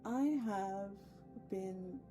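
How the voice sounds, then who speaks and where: A woman hosting a livestream, chatting casually with her viewers in a playful way, with chatty asides and drawn-out emphasis. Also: mid-range voice. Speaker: VTuber streamer host. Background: soft ambient music.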